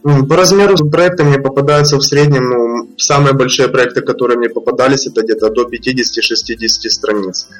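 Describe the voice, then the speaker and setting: A man, a freelance translator, answering podcast interview questions in a measured, explanatory way with fillers and restarts. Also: medium pitch, poor audio.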